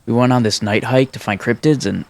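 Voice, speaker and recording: A man's voice: medium pitch, fairly quick, gravelly, a little mellow, lightly acted. A man playing a mild, hesitant young protagonist in a visual novel fan dub. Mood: apologetic.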